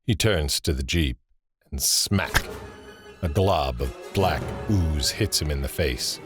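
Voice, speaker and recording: A man narrating scene directions in a scripted audio drama, grave and measured. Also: a bass voice, lively intonation, music in the background, exaggerated voice. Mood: measured, suspenseful.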